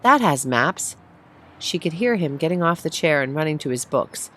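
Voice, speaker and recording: Low-pitched woman narrating a story. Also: a little fast. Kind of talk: scripted short-story narration, read aloud. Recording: faint background noise.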